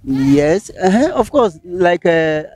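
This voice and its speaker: A Nigerian man with a pidgin accent answers questions in a slightly high voice. He hesitates often and restarts his sentences. The recording is dry, with little room sound. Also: very animated, slow pace, darkish tone, slightly rough, no echo. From Rivers State, Nigeria. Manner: hesitant, many fillers and restarts. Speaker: interviewee. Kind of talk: casual street interview.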